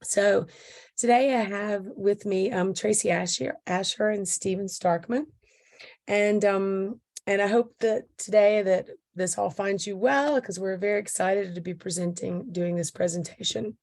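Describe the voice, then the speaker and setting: A woman with a slightly hoarse voice, hosting an interview about photo books and talking in a halting way with many pauses and fillers. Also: mid-range voice, darkish tone. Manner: halting, many ums and restarts. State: hoarse, recovering voice. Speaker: interviewer, photo book consultant. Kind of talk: casual video interview.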